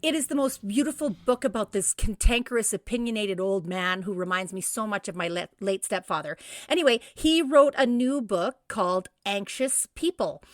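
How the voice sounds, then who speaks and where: A woman's voice: mid-range voice, moderate pace, no room sound, clean studio sound. A middle-aged woman co-hosting a podcast, chatting enthusiastically as she runs through event listings. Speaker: podcast co-host. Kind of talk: casual event listings and chat.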